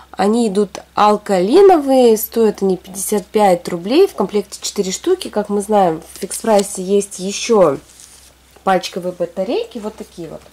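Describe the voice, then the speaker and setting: A woman in her thirties from Russia, hoarse from a cold, chatting casually through a shopping haul at home. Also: mid-range voice, dark tone. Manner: chatty, rambling, talks to herself. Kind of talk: casual product haul chat. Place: home, recording products on a fluffy rug.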